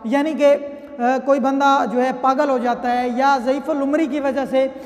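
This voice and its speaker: Really high voiced pakistani guy teaching like a lecture, chatty explaining, big long echo on it. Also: fairly even intonation, measured pace, darkish tone. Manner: conversational, reads clauses then explains them. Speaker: law lecturer. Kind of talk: explanatory lecture with worked examples. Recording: long reverberant hall-like echo.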